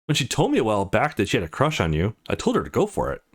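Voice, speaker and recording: A man's voice: on the low side, wildly swooping pitch, racing, husky, full character voice. A man voicing a brash, foul-mouthed, teasing young woman who is a loyal friend in a visual novel game. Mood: teasing, frank.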